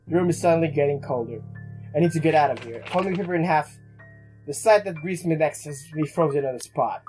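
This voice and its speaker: Game music plays under a let's player with a mid-pitched voice. The player reads a visual novel aloud dramatically, often tripping over words, and adds casual reactions. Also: quick pace, darkish tone, poor audio. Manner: stumbling, dramatic read-aloud.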